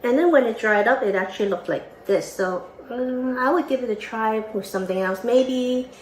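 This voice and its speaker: A woman's voice: fairly high pitch, normal speed. Chatty woman host from Hong Kong. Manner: chatty, with small slips and restarts. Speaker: podcast co-host. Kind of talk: casual how-to demonstration. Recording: roomy echo, faint room noise.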